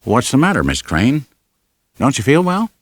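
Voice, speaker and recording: A man's voice: mid-range voice, huge pitch swings, moderate pace, no echo, voice pushed up. A man voicing a good-humored, obliging male office boss in an audiobook novel. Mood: concerned.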